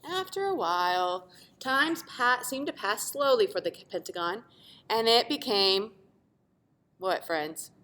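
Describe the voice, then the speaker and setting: Animated, bright-voiced woman reading a storybook. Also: mid-range voice, slow pace. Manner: animated, dramatic emphasis with asides to young listeners. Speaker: teacher reading a picture book aloud. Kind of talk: expressive children's storybook read-aloud.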